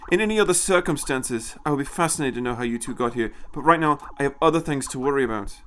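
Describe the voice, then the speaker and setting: A man's voice: mid-range voice, lively intonation, fast, fairly bright tone, natural voice. A man voicing a shaken, anxious and defeated personal assistant in an audio story, fretful and gloomy. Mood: shocked.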